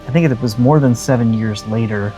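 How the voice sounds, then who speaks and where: A man's voice: medium pitch, brisk, dark tone, low-quality recording. Earnest man reviewing games over music. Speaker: co-host of a game review show. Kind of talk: conversational review.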